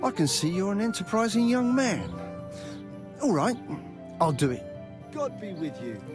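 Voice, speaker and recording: A man playing a proud but cautious medieval swordsmith in a video game, with a husky voice. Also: high voice, very animated, a little slow, music in the background. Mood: agreeable. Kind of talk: video game dialogue acting.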